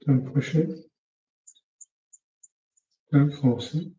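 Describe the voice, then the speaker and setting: Muffled, very dark-voiced man guiding meditation. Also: a little high. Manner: calm, unhurried, soft instructing with short phrases. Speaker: meditation teacher. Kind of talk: guided meditation, gentle spoken instruction. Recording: muffled, echoey room, distant mic.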